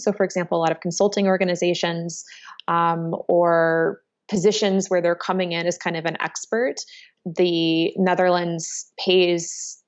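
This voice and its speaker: A woman in her thirties from Chicago, answering interview questions in a relaxed, thoughtful way with many ums. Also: lowish voice. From Chicago, United States. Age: 30s. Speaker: interviewee, entrepreneur. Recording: dull lo-fi sound.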